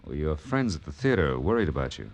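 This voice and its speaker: A man's voice: a bass voice, very animated, fast talker, full character voice. An actor playing a hardboiled, wry male private detective who narrates an old-time radio drama in terse, slangy phrases. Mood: probing. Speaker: lead and narrator. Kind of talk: 1940s-style radio detective narration and dialogue.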